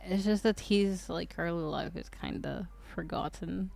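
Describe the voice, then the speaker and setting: A woman's voice: fairly low pitch, steady tone, unhurried, vocal fry, a little mellow, faint music behind. A woman from Croatia, chatting casually on a livestream, hesitant and rambling with lots of fillers and restarts. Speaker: streamer.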